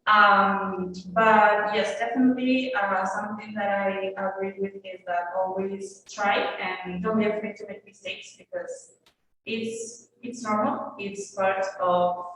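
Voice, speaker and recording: A woman's voice: mid-range voice, little pitch movement, slow, crowd noise. Young woman in an echoey room. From Italy. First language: Italian. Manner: thoughtful, frequent fillers. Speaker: international student. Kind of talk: answering questions at an online colloquium. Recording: echoey room, far from mic, faint noise.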